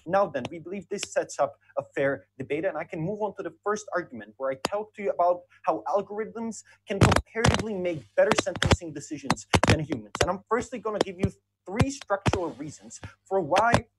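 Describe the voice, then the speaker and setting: Debater, formal structured speech, loud background noise, video call audio. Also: medium pitch, animated, brisk. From Czech Republic. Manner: structured, formal, signposting points.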